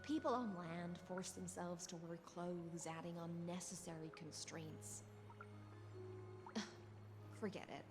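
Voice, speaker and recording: Game music sits under a lazy, dangerous noblewoman of the sea, a little lower-pitched than most women. She talks softly and without hurry, sometimes close to breathy, mixing teasing with cold philosophy. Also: fairly even intonation, slow, pauses often. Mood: nonchalant. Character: languid, menacing sea-born noblewoman. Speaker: game character. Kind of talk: video game voice acting.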